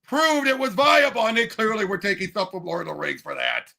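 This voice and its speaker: A man's voice: high voice, sing-song, brisk, creaky, bright, forceful tone. A man talking about movies as a podcast panelist, emphatic and eagerly repeating himself. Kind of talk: casual movie discussion.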